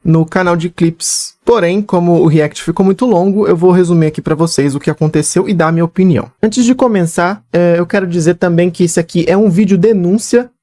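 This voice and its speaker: A woman's voice: fairly low pitch, a little fast, few pauses, warm tone, dry recording, clean studio sound. A woman VTuber, seriously explaining a controversy in a commentary video.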